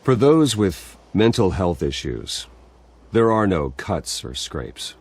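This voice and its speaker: An actor playing a composed, guarded rich philanthropist in a video game, speaking in a measured, earnest way. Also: male voice, low voice, sing-song, darkish tone, slightly rough, exaggerated voice. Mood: solemn. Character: wealthy composed heir and philanthropist, adult man, guarded. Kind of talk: video game dialogue, public speech.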